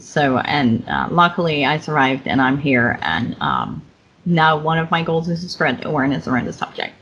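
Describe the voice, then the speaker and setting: Dutch-native woman, interviewer, low voice, slightly muffled call audio. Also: a bit bright, breathy edge. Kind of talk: casual interview conversation.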